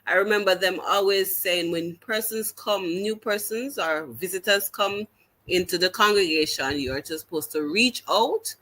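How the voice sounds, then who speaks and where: A woman's voice: medium pitch, bright, forceful tone. A woman with a Jamaican accent, a teacher telling her story as an interview guest, earnest and full of restarts. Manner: earnest, halting restarts, slips into patois.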